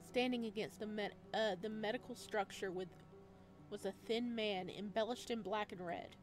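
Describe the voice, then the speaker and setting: A woman doing a let's play, reading story text aloud and adding expressive, chatty reactions in a casual style. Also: mid-range voice, normal speed, faint music behind. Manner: expressive, chatty asides between read passages.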